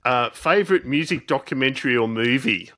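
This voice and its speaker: A man's voice: medium pitch, expressive, brisk, fairly bright tone, dry recording. A man hosting a casual interview podcast, effusive and affirming as he talks. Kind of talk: casual interview and show sign-off.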